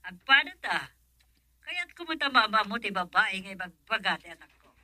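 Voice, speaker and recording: A woman's voice: mid-range voice, animated, normal speed, bright, forceful tone, dry recording. An actress with a northern Philippine accent playing an insistent middle-aged mother of a grown son in a radio drama, emphatic and impatient. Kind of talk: Ilocano radio drama acting.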